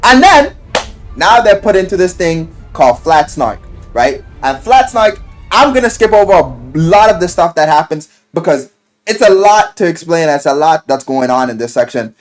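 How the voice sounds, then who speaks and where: A man giving a casual, enthusiastic recap of a story, animated and slangy, swearing freely and acting out the quotes. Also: on the high side, normal speed. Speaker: video game and visual novel reviewer. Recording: music playing behind the voice. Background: music.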